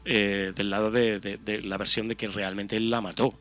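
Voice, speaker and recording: Male panelist, Spain accent, slightly low forceful voice, conversational commentary. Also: level tone, normal speed. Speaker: radio panelist.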